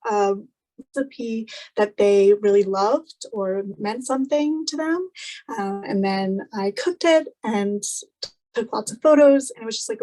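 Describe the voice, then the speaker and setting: This is a young woman in her twenties with a slightly high voice, chatting warmly as a student on a panel. She talks in an upbeat, loose way, full of ums and likes. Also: rough recording. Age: 20s. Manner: chatty and upbeat, with frequent fillers. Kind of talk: casual panel chat on a video call.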